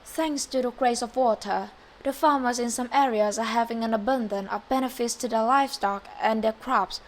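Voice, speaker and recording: A woman's voice: a little high, moderate pace, lightly acted. A teenage girl with a Vietnamese accent playing a formal female news anchor and talk show host, reading a scripted mock broadcast. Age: teens.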